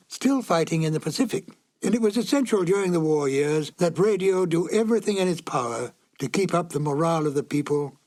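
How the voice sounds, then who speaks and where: A man's voice: a little high, a little mellow. A man giving a scripted narration as the presenter of a classic radio drama.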